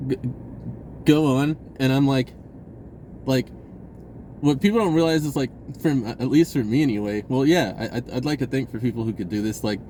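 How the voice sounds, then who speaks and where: A man's voice: mid-range voice, moderate pace, dark tone, slight background noise. A man telling a personal story in a casual, rambling, slangy way and acting out bits of dialogue. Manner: informal slangy speech, acts out dialogue. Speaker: vlogger recounting personal experiences.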